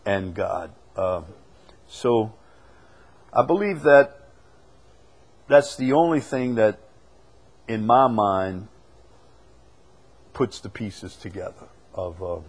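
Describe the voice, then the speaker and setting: A man teaching a Bible study as a preacher, emphatic, moving between loud declaiming and quieter asides. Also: medium pitch. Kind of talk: teaching with questions from the congregation.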